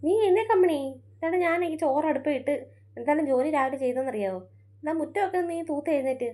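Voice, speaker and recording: A woman's voice: high voice, expressive, fast, dark tone. A young woman playing a busy mother in a home-made family skit, fussing and scolding. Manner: fussy, nagging, scolding. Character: busy mother who runs a beauty parlour.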